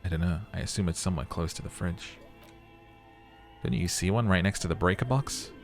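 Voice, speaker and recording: Man playing a game police lieutenant: deep, dry, smug, observant, faint music beneath. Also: fairly quick. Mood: matter-of-fact.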